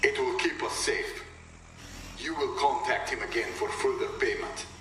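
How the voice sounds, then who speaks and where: Casting: male actor as controlled terrorist boss, military game, very bright edge, measured, echoey pickup. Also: medium pitch, close to an everyday voice. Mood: guarded, menacing. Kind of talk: overheard villain dialogue in a military game. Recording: echoey, distant eavesdropped sound, faint noise.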